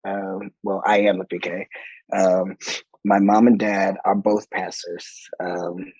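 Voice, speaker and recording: A low-voiced man in his forties from Monterey, California, chats as a podcast guest, playful and quick to laugh, with plenty of ums. The sound is dry and a little dull, lo-fi. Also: flat delivery, darkish tone, no room sound. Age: 40s. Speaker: guest, choir director. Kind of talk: casual interview chat.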